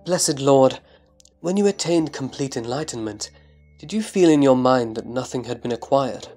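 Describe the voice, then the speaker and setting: Female actor as reverent disciple addressing a master, extremely low pitch, measured delivery, scripture drama, background music. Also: lively intonation, dark tone. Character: Subhuti, respectful disciple questioning the Buddha. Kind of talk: audio drama scripture reading.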